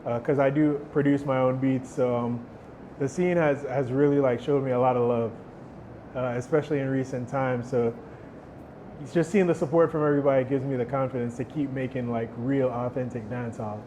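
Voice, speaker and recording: Relaxed man, mid-pitched. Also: brisk, a little hiss or hum. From Jamaica. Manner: relaxed, thoughtful, restarts words often. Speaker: musician interviewee. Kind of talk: casual interview answers. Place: outdoor stage.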